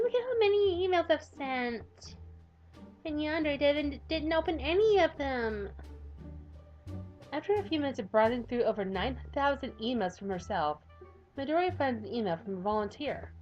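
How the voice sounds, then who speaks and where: A woman's voice: fairly high pitch, dull audio. A woman streaming a game, reading a visual novel's text aloud and reacting between passages. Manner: expressive, reads text then reacts with sighs and laughs. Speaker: gameplay streamer.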